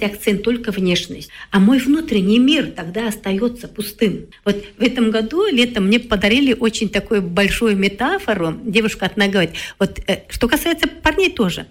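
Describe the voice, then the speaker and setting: A middle-aged woman speaking as a guest expert on a talk show, reflective and hesitant, often checking in with her listener. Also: mid-range voice. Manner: reflective, many fillers and "да?" tags. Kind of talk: studio talk-show conversation.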